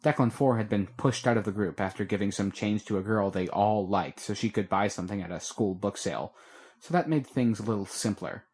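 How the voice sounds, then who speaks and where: A man reading a fan-made audiobook chapter in a steady storytelling way. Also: lowish voice, fairly quick, breathy edge. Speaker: audiobook narrator.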